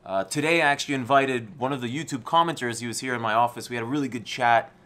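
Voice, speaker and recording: A man's voice: medium pitch, quick pace. A man with an American-schooled English accent hosting his channel, talking casually and conversationally from his office. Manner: relaxed, conversational, with restarts. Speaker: channel host, entrepreneur. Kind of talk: casual talk to camera.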